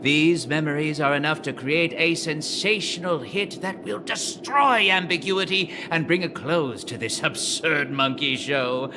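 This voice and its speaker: A man's voice: on the high side, measured pace, few pauses, close to an everyday voice. A man voicing a flamboyant, pompous, self-important film director villain in a video game, theatrical and effusive. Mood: grandiose. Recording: clear background noise.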